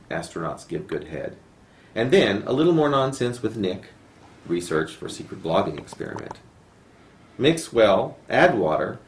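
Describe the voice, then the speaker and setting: A man reading poetry aloud as a poet at a live home reading. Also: on the low side. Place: room in a home. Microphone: camera microphone, no dedicated mic. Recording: roomy echo, faint noise, amateur video.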